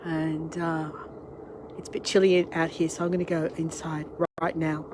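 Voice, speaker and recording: Hoarse Australian woman with a cold. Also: low-pitched, flat delivery, normal speed. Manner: chatty, greets each viewer as they join. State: has a cold, losing her voice. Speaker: online tour and cooking class host. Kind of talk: live-streamed casual chat. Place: outdoor deck, then home kitchen. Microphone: device camera. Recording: wind and ambient noise outdoors.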